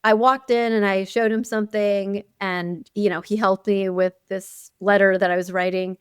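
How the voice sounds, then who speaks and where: A woman who grew up speaking Arabic talks through her career in a podcast interview, warm in her storytelling and full of little asides. Her voice is smooth and clear at a middle pitch. It sits dry and close to the mic, with no room around it. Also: moderate pace, no echo. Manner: animated storytelling with frequent "you know" and laughs. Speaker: guest, chief dental officer. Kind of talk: conversational interview.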